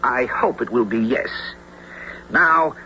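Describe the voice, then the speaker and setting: An actor playing an earnest, insistent American naval secretary in a radio drama, formal and emphatic. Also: male voice, mid-range voice, slow, pushed and bright. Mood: grave, formal. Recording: dull, noisy radio drama recording.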